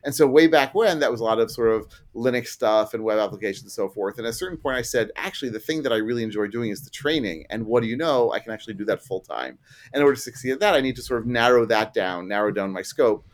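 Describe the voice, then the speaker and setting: A middle-aged man with a mid-range voice speaks as an interview guest. He explains things conversationally, like an experienced trainer, with self-deprecating asides and illustrative examples. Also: mid-range voice.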